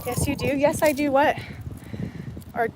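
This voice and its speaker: A woman's voice: high voice, fairly even intonation, unhurried, noisy background. A woman from Hawaii on a casual livestream, reading her chat aloud and rambling with lots of fillers and restarts while out on a walk. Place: outdoors on a jungle walk.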